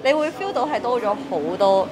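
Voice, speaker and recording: A woman with a Hong Kong Cantonese accent, answering interview questions in a relaxed, casual way. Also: on the high side, normal speed, background noise. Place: indoors, small living room.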